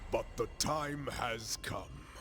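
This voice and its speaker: A man's voice: mid-range voice, slow pace, pushed and bright, soft background music. A man playing a grave, menacing alien warlord in a video game cutscene, with solemn and formal delivery. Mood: solemn threat.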